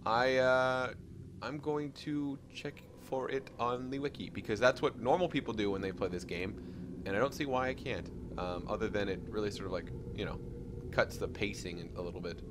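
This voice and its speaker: A man's voice: lowish voice, normal speed, noisy background. A young man hosting a let's play, chatting casually and self-deprecatingly with many restarts while he plays. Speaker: gaming channel host. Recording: game music playing behind.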